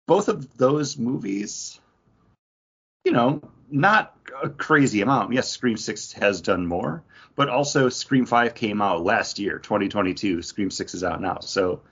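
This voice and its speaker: A man's voice: fairly low pitch, a bit bright, breathy edge, highs cut off. A man co-hosting a podcast, chatting casually about pop culture. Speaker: podcast co-host.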